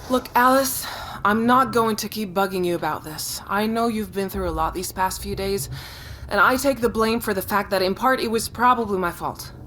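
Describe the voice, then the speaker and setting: Actress as a firm, dismissive executive sister. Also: female voice, mid-range voice, moderate pace, a bit bright, a little hiss or hum, close to an everyday voice. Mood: curt, weary. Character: busy, brisk tech executive, sceptical older sister. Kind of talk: naturalistic audio drama acting.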